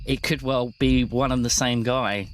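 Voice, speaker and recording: Guy running a tabletop rpg, telling the story, mid pitch, some noise behind him. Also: fairly even intonation, a little slow. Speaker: game master. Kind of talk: narrating a tabletop roleplaying game, conversational.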